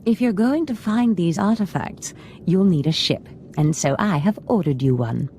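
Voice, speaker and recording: A commanding dark sorceress mentor from a game, very low and mellow in voice. She is composed and measured, scheming with calm authority. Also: a little hiss or hum. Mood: pleased, commanding. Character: commanding, scheming female Sith lord mentor. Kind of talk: video game character acting.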